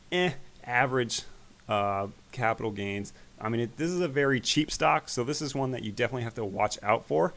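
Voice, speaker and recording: A man hosting an investing channel, talking casually through his stock portfolio in a rambling way, his voice growing raspy. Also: mid-range voice. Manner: relaxed, rambling, with fillers. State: voice getting raspy. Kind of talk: casual screen-share stock commentary.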